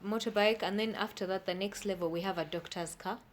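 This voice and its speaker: A woman's voice: medium pitch, steady tone, brisk. A woman speaking as an expert interview guest, explaining things plainly step by step and checking that she is understood.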